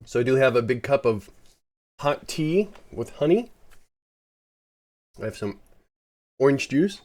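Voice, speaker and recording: A man's voice: mid-range voice, very animated, measured pace, darkish tone. A man with a head cold giving rambling, casual commentary while live-streaming a space game, often correcting himself.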